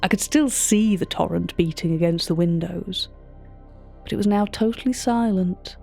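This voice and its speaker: A woman performing a polite, curious auction curator. Her voice sits low and soft-edged, with music underneath. She narrates eerie audio fiction deliberately, in measured literary phrases. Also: creaky. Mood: hushed wonder. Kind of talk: scripted first-person narration.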